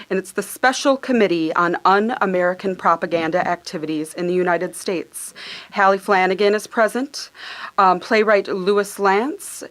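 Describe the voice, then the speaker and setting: A woman's voice: medium pitch, fairly bright tone, poor audio. A woman reading scene-setting narration for a radio theater piece.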